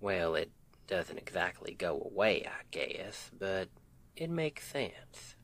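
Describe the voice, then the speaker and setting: A man's voice: sits low, big pitch swings, slow pace, fairly bright tone, exaggerated voice. A man playing a sweet, devoted Southern husband in an intimate ASMR roleplay, tender and affectionate, with a Southern US accent.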